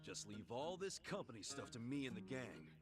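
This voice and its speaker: A man's voice: medium pitch, very animated, moderate pace, lightly acted. A man playing an earnest, big-hearted middle-aged video game hero with an emphatic, informal way of talking. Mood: eager, reassuring. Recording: music behind the voice. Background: guitar music.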